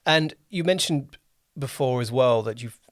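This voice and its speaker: A man's voice: medium pitch, animated, measured pace, no room sound, clean studio sound. A man hosting a conversational podcast interview, often rephrasing his questions as he goes. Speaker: podcast host and producer.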